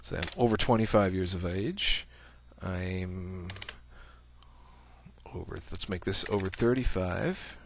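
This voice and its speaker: A man's voice: sits low, slow pace, gravelly, slight background noise. A man giving a casual screencast coding tutorial, thinking aloud as he types, with many "uh" fillers. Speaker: programming instructor.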